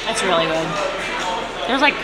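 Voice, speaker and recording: A woman's voice: fairly low pitch, expressive, moderate pace, over loud music, over a noisy crowd, rough recording. A woman in her thirties chatting casually at a restaurant table as she tastes and rates dishes, often searching for her words. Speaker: food vlogger. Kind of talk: casual food review.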